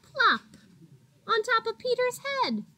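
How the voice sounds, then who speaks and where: A woman in her thirties, a teacher reading a picture book aloud to young children in a deliberate, expressive way with drawn-out words. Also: extremely high pitch. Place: small indoor classroom. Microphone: laptop or webcam at arm's length.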